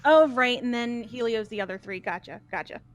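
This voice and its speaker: A woman chatting casually while playing a game with friends. She is excitable and sometimes confused. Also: a little high, fairly quick, a crowd in the background. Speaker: player in a group playthrough. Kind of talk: casual chat.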